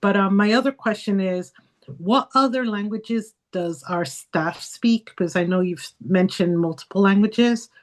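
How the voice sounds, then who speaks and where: A woman's voice: medium pitch, a little slow. A native Spanish-speaking woman on a school committee, sharing personal experience in a conversational way. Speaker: committee member. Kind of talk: committee meeting discussion.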